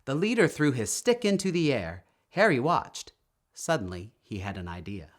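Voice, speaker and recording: A man reading a children's story aloud in an expressive, emphatic storytelling manner, drawing out words. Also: medium pitch, normal speed. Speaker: storytime reader.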